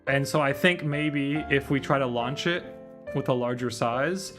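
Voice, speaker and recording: Guy streaming a game, slangy offhand chat, thinking out loud, music playing behind, average pitch. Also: a little slow. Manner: slangy, thinking aloud, mild swearing. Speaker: livestreamer playing a visual novel. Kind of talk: casual livestream chat while troubleshooting.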